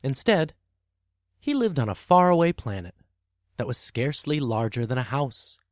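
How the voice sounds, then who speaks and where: A man narrating a children's tale as a podcast storyteller, with expressive, measured and dramatic phrasing. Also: mid-range voice, no room sound, clean studio sound.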